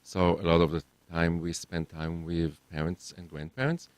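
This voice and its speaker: A man's voice: low voice, flat delivery, moderate pace, no room sound. A man from Israel, giving brief, hesitant answers as a guest in a radio studio. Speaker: co-founder guest.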